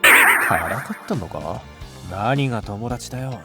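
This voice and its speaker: A man playing a cool, curt high school boy with rough, blunt speech in an anime-style voice drama. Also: wildly swooping pitch, slow pace, heavily acted. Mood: flustered, defensive. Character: cool, gruff high school heartthrob boy, secretly flustered. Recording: music behind the voice. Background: background music.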